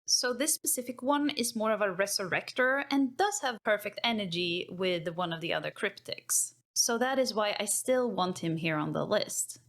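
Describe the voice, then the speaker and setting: A woman's voice: medium pitch, expressive, normal speed, few pauses, close to an everyday voice. A woman playing a cheerful, geeky techpriest who chats informally while narrating card game lore in character. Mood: explaining.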